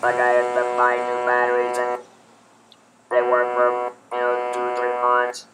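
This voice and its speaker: A man who talks through an electrolarynx, so his voice comes out as a buzzing electronic tone. He explains things in a relaxed, practical way and pauses often. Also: mid-range voice, heavy background noise. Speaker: laryngectomee sharing device tips. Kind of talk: casual explanation.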